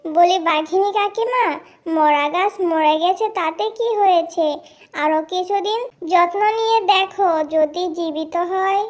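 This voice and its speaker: A woman's voice: very high voice, a little slow. A woman voicing an eager, tender-hearted little girl animal in a cartoon fairy tale, earnest and pleading. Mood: compassionate, pleading.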